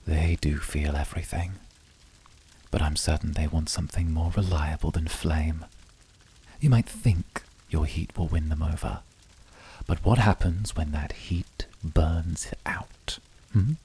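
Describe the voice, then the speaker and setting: A very breathy, gravelly demon rival played by a man, cool and controlled. He speaks in measured, formal, intimate phrases for an ASMR roleplay, quietly seductive in a dark, low register. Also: steady tone, full character voice. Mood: cool challenge. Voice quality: husky, breathy.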